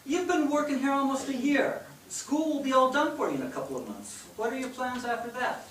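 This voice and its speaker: A man's voice: extremely high pitch, lively intonation, a little fast, fairly bright tone. A man playing a proud, eager immigrant father in a staged play reading. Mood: earnest. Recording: echoey stage, distant mic, background hiss.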